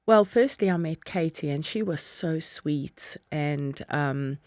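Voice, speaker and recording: Here is a South African woman sitting in as a podcast guest. She talks in a lowish voice, chatty and often laughing, and she acts out what she once thought. The recording is dry and close. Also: slow pace, a little mellow, dry recording. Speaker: podcast guest, business owner. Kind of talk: casual interview chat.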